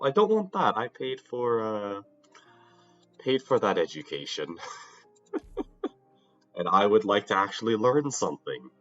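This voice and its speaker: A man's voice: on the low side, muffled recording. Tired, slightly nasal young man streaming. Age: young adult. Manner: rambling, self-interrupting, laughs often. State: a little sick and tired. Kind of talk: casual livestream chat while gaming.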